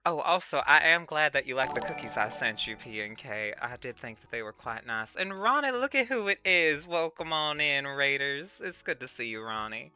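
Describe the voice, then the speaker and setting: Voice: mid-range voice, animated, normal speed, few pauses, bright, forceful tone, faint music behind. A livestream host chatting casually with viewers in a put-on Southern US accent, a folksy Southern Belle drawl full of sugary terms of address. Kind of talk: casual livestream chat reading and answering viewers' comments.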